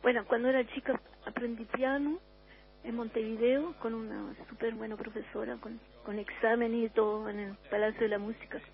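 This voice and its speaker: An older woman from Uruguay with a Chilean accent, a musician guest on a radio interview, talking in a reflective, unhurried way with many restarts. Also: a little high, flat delivery, muffled recording, a little hiss or hum.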